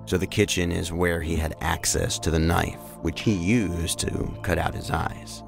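An actor playing a terse, probing federal investigator with dry, clipped questioning in an audio drama. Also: male voice, low-pitched, little pitch movement, a little slow, vocal fry, over music. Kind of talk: science fiction audio drama acting.

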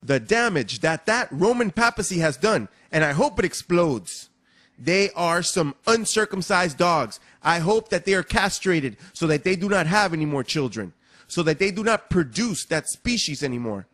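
High, husky man in a vehement rant. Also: big pitch swings, normal speed, a bit bright. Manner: vehement, scornful. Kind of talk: angry polemical preaching.

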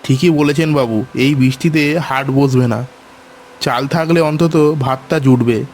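A man voicing a gentle, worried poor village father in a cartoon. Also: medium pitch, steady tone, a little slow, a little hiss or hum, close to an everyday voice. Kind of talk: cartoon voice acting.

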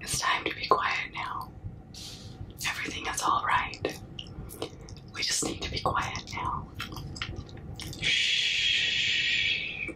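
A young woman whispering very close to the mic in a breathy, soothing, repetitive ASMR comforting roleplay, with soft shushing. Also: slow, long pauses, rough recording. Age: young adult. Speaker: ASMR performer. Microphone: very close to the mouth.

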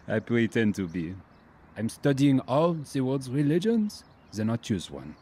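A man's voice: medium pitch, very animated, takes it slowly, mellow, husky, full character voice. An actor playing a thoughtful, idealistic young Frenchman, earnest and reflective, in a radio drama. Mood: friendly, light.

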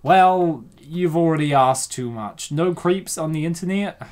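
A man's voice: fairly high pitch, measured pace, darkish tone. Man making playful, slangy commentary. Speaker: streamer reacting to comic dubs. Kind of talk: casual joking commentary.

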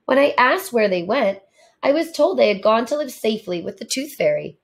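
A woman reading a storybook aloud for children in a deliberate, expressive manner. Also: fairly high pitch, vocal fry. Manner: deliberate, animated delivery. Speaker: storybook reader, teacher.

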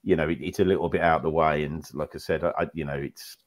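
A man with a very low voice joins a casual fan podcast over a video call. He rambles, restarting sentences and leaning on filler phrases. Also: steady tone, quick pace. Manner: rambling, repeats words, many "you know"s. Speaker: podcast panelist. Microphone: video call with earphones.

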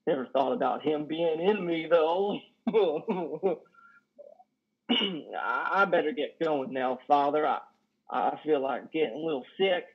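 An actor in his thirties playing a lonely, awkward trucker in a play reading, halting, stammering and folksy. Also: fairly high pitch, slightly rough, heavily acted. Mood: shy, rambling. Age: 30s. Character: lonely, awkward, self-deprecating trucker, plain-spoken and folksy. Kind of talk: play reading over video call. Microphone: headset on a video call. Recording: dull video-call audio.

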